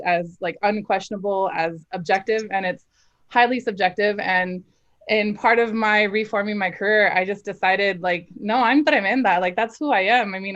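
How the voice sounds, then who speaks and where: A woman's voice: mid-range voice, a bit bright. A young adult woman, a dramatic soprano, talking candidly and emphatically in an interview, laughing often. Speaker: opera singer, dramatic soprano. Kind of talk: casual interview talk. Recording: slightly dull live stream audio.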